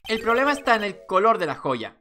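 A woman's voice: mid-range voice, very animated, a little fast, pushed and bright, slight background noise. A woman voicing an earnest, confrontational young male defense attorney from a video game, declaiming and accusing. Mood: pointed.

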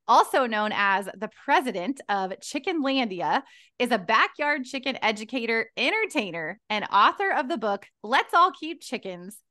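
Bright, upbeat woman podcast host. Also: a little high. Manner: upbeat, enthusiastic. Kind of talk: scripted podcast introduction. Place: home room. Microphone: headset on video call.

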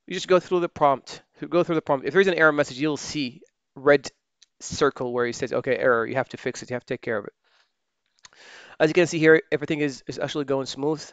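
Smooth-voiced man walking through software. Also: on the high side, fast, no echo. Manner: relaxed, thinking aloud while typing, many fillers and self-corrections. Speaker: software trainer. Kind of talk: screen-recorded software tutorial.

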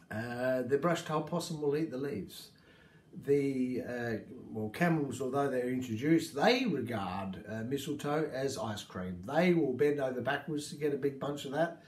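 A man in his fifties with an Australian accent, talking casually to camera about native plants, rambling and gesturing, in a small indoor room. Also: mid-range voice. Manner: warm, rambling, gestures with hands. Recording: echoey room.

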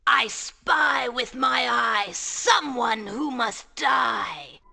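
A woman's voice: sits high, drawn-out words, creaky, heavy background noise, full character voice. A woman playing an evil, commanding wolf sorcerer in a cartoon game, menacing and melodramatic.

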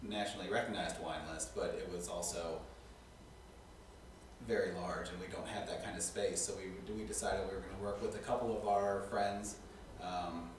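A middle-aged man, a restaurant co-owner, answering interview questions in a halting way with many fillers. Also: low-pitched, far from the mic, slight background noise. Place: small studio on a couch. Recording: echoey room from off mic.

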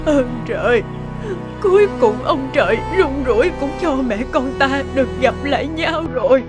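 A woman's voice: high voice, expressive, normal speed, music in the background. A woman playing a frail, tearful old village mother in a cartoon, with a trembling, emotional delivery. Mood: tearful relief. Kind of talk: cartoon voice acting.

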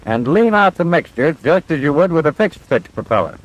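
A military flight instructor from a 1940s training film. His voice sits fairly high and swings animatedly in pitch. He explains step by step with patient confidence, heard on a vintage film soundtrack. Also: very animated, a little fast, highs cut off. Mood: patient, instructive. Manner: clear, methodical instructional delivery.

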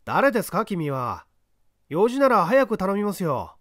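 A man voicing a brisk, businesslike newspaper reporter in a detective storybook. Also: high voice, sing-song, a bit bright, no echo, clean studio sound, pitched above the performer's own voice, exaggerated voice. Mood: brisk, impatient. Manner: curt, businesslike, then feigned politeness.

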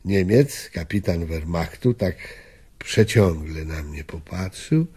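An actor playing an elderly former Polish army officer in a radio drama, reminiscing wistfully in halting, reflective phrases. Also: male voice, low voice, lively intonation, mellow, breathy edge, heavily acted. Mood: tense recollection. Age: older.

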